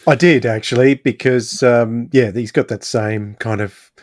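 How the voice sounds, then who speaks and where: A man hosting a casual podcast discussion, speaking in halting, reflective phrases. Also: mid-range voice, steady tone, a little mellow, dry recording, clean studio sound.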